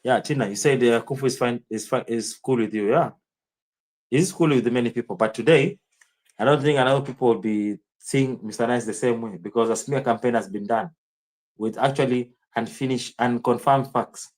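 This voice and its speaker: A man's voice: medium pitch, moderate pace, darkish tone. Kenyan man rambling through a livestream. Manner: rambling, answers chat comments by name. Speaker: live streamer. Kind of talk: casual livestream commentary.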